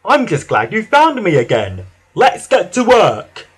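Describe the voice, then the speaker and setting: A man's voice: high voice, wildly swooping pitch, slightly rough, voice pushed up, full character voice. A man voicing a cheerful, friendly, scruffy little steam engine for a children's storybook. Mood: happy, friendly. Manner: cheerful, drawn-out calling.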